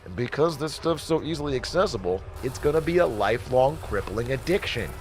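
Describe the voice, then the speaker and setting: A man's voice: medium pitch, expressive, fairly quick, soft background music, lightly acted. An actor playing a shady, slick finance guru in a video game, with a glib, showy salesman's delivery. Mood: conspiratorial, gleeful. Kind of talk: game voice acting, hosted advice show patter.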